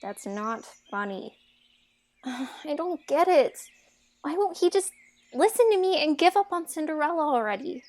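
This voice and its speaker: A woman acts out an exasperated young heroine of a fairytale audio drama. Her voice is high and swings up and down a lot. She speaks casually and drips sarcasm. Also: animated, a little slow, a little mellow. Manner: sarcastic, modern casual speech.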